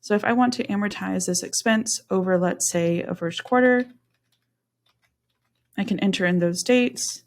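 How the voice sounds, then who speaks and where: Instructional woman narrating a software tutorial. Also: medium pitch, normal speed. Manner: instructional, step-by-step. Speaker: software demonstrator.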